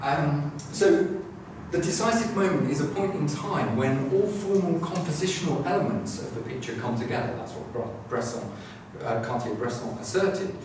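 A middle-aged man lectures to a class, stumbling over restarts and fillers as he works through his ideas. He is picked up from across a very echoey room, and a clear hum of room noise sits under his voice. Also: fairly high pitch, lively intonation. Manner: halting, many restarts and fillers. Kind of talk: academic lecture. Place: classroom with a screen, indoors. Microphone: far camera. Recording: echoey room, far from the camera mic.

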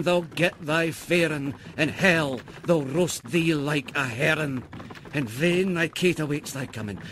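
A man reciting a narrative poem theatrically, swinging from loud outbursts to hushed whispers. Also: fairly high pitch. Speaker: poetry reader. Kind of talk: dramatic poetry recitation.

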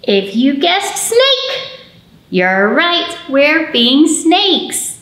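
A woman with a fairly high voice leads storytime for small children. She takes her time, stretching key words in an animated, encouraging way. The room adds a clear echo around her. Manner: animated, encouraging, drawn-out emphasis for young children. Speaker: children's librarian, storytime host. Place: indoor library storytime room. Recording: roomy indoor echo.

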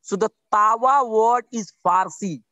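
Indian man, 40s, emphatic guest on a video call, higher pitch, dry close sound. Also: unhurried, bright, forceful tone, no echo, dull audio. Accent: Indian English. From India (Bihar). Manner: earnest, repetitive, emphatic with restarts. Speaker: interview guest, writer. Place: outdoors under trees.

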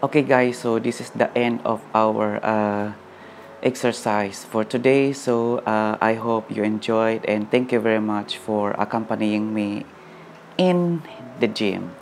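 A man in his thirties talking casually to a handheld phone in a large indoor gym, halting with many fillers, a little out of breath after jogging. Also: on the low side, a little hiss or hum. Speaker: vlogger. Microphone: phone at arm's length.

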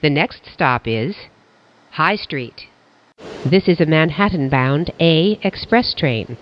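A train announcer reading scripted transit announcements in a clipped, measured, formal way. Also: mid-range voice, animated. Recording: dull lo-fi audio with clear background noise.

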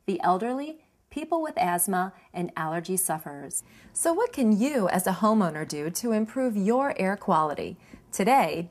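A woman in her thirties reads a scripted informational piece straight to camera. Her voice sits in a middle pitch, and her delivery is clear, measured and earnest. She is recorded cleanly on an indoor studio set. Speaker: presenter.

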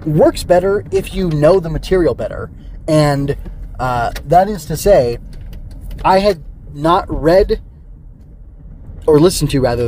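A man's voice: a little high, darkish tone, a little hiss or hum. A middle-aged man hosting a solo podcast, talking casually and rambling with digressions. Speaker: podcast host. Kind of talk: casual solo review chat.

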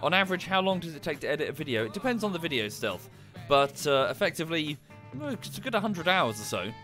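A man's voice: a little high, big pitch swings, quick pace, bright, forceful tone, soft background music. A man livestreaming from home, chatting casually and thanking viewers, a little nasal and bunged up from a cold. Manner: rambling, thanks viewers in passing. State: coming down with a cold.

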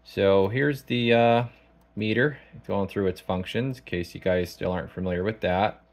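A man giving a casual product demonstration as a technician, thinking aloud in a halting, informal way. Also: fairly low pitch. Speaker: radio technician. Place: small indoor electronics workshop.

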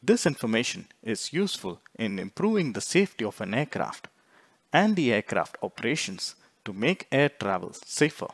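A man reading scripted instructional narration in a measured, explanatory way, phrase by phrase. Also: mid-range voice, big pitch swings, no room sound. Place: close, dry voice-over recording.

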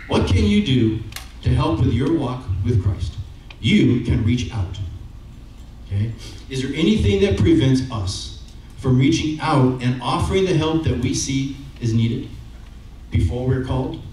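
A man's voice: mid-range voice, a little mellow. Middle-aged male preacher, chatty and conversational, handheld mic, recorded from across a very echoey sanctuary. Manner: conversational, keeps asking "okay?" and "right?"; walks around the stage with a handheld mic. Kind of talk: sermon. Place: church sanctuary with brick wall. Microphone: handheld microphone, far camera. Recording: very echoey room, from far off, faint noise.

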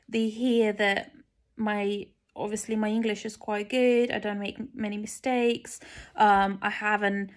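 A young woman from Lithuania, speaking English with a Lithuanian accent, chatting casually and rambling with lots of ums while she stitches at home. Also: mid-range voice. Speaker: stitching vlogger. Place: indoors at home, stitching at a table. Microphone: phone camera looking down at the stitching, speaker off frame.

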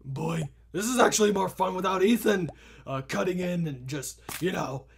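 Man doing a very gravelly, high-pitched childish clone. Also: moderate pace, a little hiss or hum. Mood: relaxed. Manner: broken third-person grammar, mild swearing, repetitive exclamations. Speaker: gaming video host. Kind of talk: excited gameplay commentary.

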